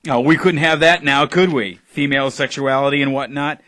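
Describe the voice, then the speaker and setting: An actor playing a pushy, sardonic boss of a struggling internet radio company in an audio drama. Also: male voice, mid-range voice, fairly even intonation, brisk, a bit bright, lightly acted. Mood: dryly sarcastic.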